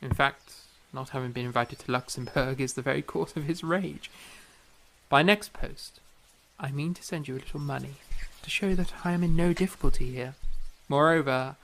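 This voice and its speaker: A man's voice: mid-range voice, moderate pace, creaky, fairly bright tone, low-quality recording. A man playing a proud, fiery young eighteenth-century composer in a period audio drama, speaking formally and emphatically with theatrical flair. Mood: earnest, proud.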